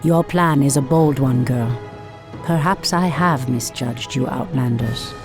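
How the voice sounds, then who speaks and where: A woman playing a stern, haughty Night Elf high priestess in a video game cinematic, formal and imperious. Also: measured pace, over music. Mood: grave, proud.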